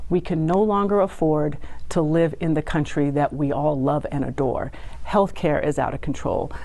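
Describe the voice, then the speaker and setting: A woman's voice: sits low, steady tone, normal speed, warm tone. A woman with an American accent, a political candidate answering interview questions in emphatic, declarative sentences. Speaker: political candidate and university regent. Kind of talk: interview answers, campaign pitch.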